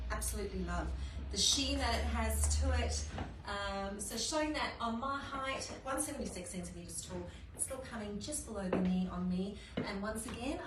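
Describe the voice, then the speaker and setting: A woman with a New Zealand accent hosting a live fashion shopping stream, chatty and gushing with many ums. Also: medium pitch. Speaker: fashion brand host. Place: fashion studio. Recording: echoey room, far from mic, noisy.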